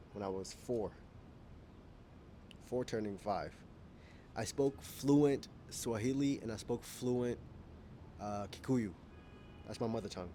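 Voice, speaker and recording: A man's voice: mid-range voice, a little hiss or hum. A young man from Kenya with an American accent, talking casually to camera on a rooftop, rambling and correcting himself as he goes. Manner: rambling, self-correcting, laughs often. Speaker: vlogger. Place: rooftop outdoors.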